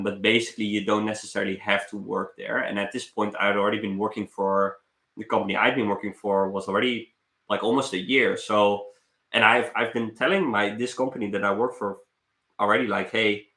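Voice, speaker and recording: The sound is roomy and echoey. A young man talks in a bright, forceful voice on the low side. He rambles casually like a streamer catching up with viewers, halting and repeating words as he goes. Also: little pitch movement. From Netherlands. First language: Dutch. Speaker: live streamer, travel vlogger. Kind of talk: casual live stream chat. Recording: roomy echo.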